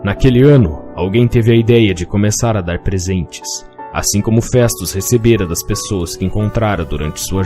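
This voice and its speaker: A man with a Brazilian accent, narrating a scripted fantasy tale as a dramatic storyteller. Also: fairly low pitch. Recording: music behind the voice. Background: musical backing.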